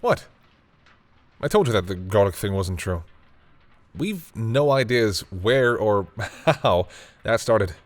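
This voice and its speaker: A man playing a flustered, tender and grudgingly fond vampire boyfriend in an intimate roleplay audio, hesitant and teasing, with a husky voice. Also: on the low side, big pitch swings, takes it slowly, long pauses. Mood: fond banter. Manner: hesitant, easily embarrassed, teasing.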